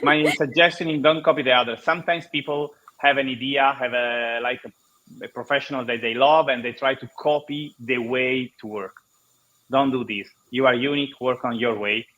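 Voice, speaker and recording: A man from Italy with an Italian accent, a guest explaining ideas in halting English with many restarts, over a video call. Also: medium pitch, steady tone, fairly bright tone, dull audio. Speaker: guest speaker. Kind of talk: online conference interview.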